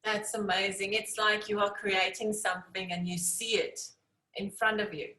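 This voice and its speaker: A woman's voice: mid-range voice, little pitch movement, moderate pace, pushed and bright. Woman interviewer in an echoey room. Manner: encouraging, affirming. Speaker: interviewer, host. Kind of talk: conversational interviewing. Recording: roomy, echoey sound.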